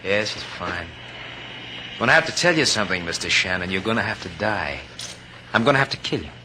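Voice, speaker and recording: An actor playing a smug, murderous college-educated villain who talks with mocking politeness in an old-time radio drama. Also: male voice, fairly low pitch, sing-song, brisk, bright, forceful tone, exaggerated voice. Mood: mocking menace. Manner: mocking, drawling politeness with menace. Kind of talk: 1940s-50s radio drama acting. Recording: noisy old radio broadcast.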